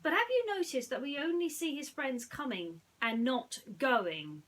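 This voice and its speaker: A woman's voice: high voice, expressive, a little slow, fairly bright tone, voice pushed up, exaggerated voice. A woman voicing a gracious but firm young storybook princess, polite and emphatic. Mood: questioning.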